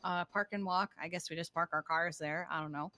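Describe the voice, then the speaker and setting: A woman improvising in game roleplay as a matter-of-fact, wry character who recounts things haltingly. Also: on the low side, fairly even intonation, bright, forceful tone. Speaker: role-player in a live-streamed online game.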